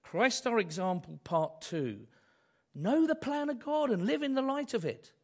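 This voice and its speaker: Hoarse preacher with a cold. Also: male voice, very high voice, very animated, a little slow, a little mellow. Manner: conversational preaching with rhetorical questions. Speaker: pastor. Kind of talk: sermon.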